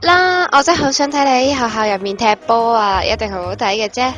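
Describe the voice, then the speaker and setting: A woman plays an admiring teenage girl in a radio drama, sweet in temper, with a Hong Kong Cantonese accent. Her voice is high and bright, over faint music. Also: quick pace, lightly acted. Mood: eager. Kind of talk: amateur radio drama dialogue.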